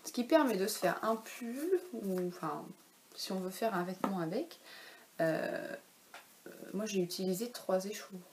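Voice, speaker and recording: A woman's voice: medium pitch, animated, normal speed, darkish tone, a little hiss or hum. A woman in her thirties, a knitwear designer, chatting casually at home about her knitting patterns, with frequent hesitations and self-corrections. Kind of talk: casual knitting podcast chat. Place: home, in daylight.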